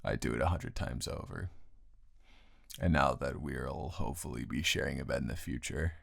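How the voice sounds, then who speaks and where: Male, very low-pitched with heavy fry, improvised boyfriend roleplay as a teasing, affectionate roommate; casual, halting delivery. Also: no room sound, clean studio sound. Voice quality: low, gravelly. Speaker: audio roleplay performer.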